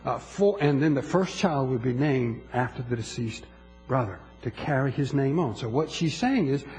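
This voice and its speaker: A man's voice: mid-range voice, lively intonation, normal speed, darkish tone, long reverb tail, soft background music, rough recording. A man preaching a sermon to his congregation in a church, emphatic and repeating his words as he talks to them. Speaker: pastor.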